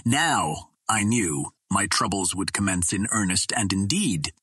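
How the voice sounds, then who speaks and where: A man narrating a classic adventure novel in a measured, formal storytelling way. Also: lowish voice, expressive, a bit bright, husky, dry recording, clean studio sound. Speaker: audiobook reader.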